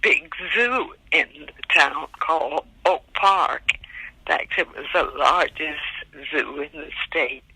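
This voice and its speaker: Slow, gravelly older woman reminiscing. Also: deep voice, no echo, rough recording. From Montgomery, Alabama. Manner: slow and reflective, soft-spoken with pauses. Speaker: interviewee, great-aunt. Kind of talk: recorded family oral history.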